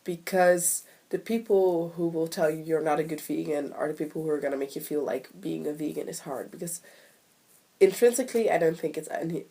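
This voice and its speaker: A young woman with a deep, low-pitched voice talks casually to her camera, still a bit nasal as she gets over a cold. She rambles and hedges with frequent ums and restarts. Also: fairly quick, mellow. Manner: rambling, self-deprecating, many ums and restarts. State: recovering from a cold, slightly nasal. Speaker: vlogger.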